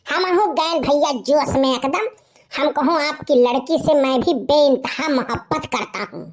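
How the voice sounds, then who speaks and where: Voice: sits high, measured pace. A performer voicing a boastful young village slacker in a comic cartoon story, with a rustic eastern Uttar Pradesh Awadhi accent.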